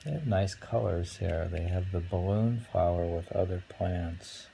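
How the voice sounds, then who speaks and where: A man reading short poems aloud and chatting about them casually, musing and pausing over the lines. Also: low voice, gravelly, mellow, a little hiss or hum, poor audio. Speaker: poetry reader and host. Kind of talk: casual poetry reading and chat.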